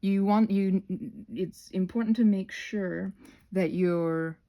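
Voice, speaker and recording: A woman hosting a casual craft tutorial, thinking aloud in a scattered, self-interrupting way with playful, expressive exclamations. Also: mid-range voice, slow pace, lo-fi sound. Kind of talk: rambling casual craft narration.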